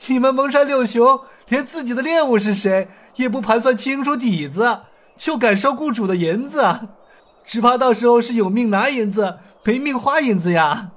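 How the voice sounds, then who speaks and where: A man voicing a playful, cocky young male swordsman who mocks his foes with drawn-out taunts in a martial-arts audio story. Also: sits high, big pitch swings, voice pushed up. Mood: mocking. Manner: mocking, laughing, drawn-out taunts.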